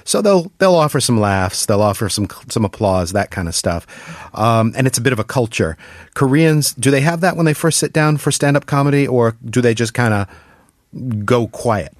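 A man hosting an interview, asking measured, articulate questions. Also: medium pitch, animated, no echo, clean studio sound. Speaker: interview host.

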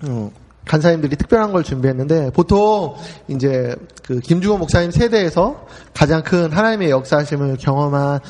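A man in his forties hosting a relaxed talk show, chatty and full of easy fillers. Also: on the high side, fairly quick, in a large hall. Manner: chatty, frequent filler "ye", laughs easily. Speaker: host. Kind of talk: casual podcast talk.

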